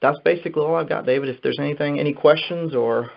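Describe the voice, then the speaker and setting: A man's voice: fairly high pitch, fast, gravelly, a little hiss or hum. Man giving motivational business advice, dull recording. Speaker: sales manager giving advice. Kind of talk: motivational business talk on a conference call. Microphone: telephone conference line. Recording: dull, muffled conference phone call.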